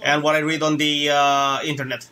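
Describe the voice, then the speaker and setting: A man's voice: fairly high pitch, level tone, normal speed, pushed and bright. A man from Romania chatting casually on a live stream while flying a simulator, rambling and restarting his sentences. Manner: rambling, hesitant, many restarts. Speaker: flight sim streamer.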